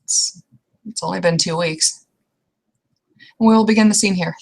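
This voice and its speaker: A woman setting the scene for a roleplaying game in halting, improvised description. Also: fairly low pitch, creaky, a little mellow.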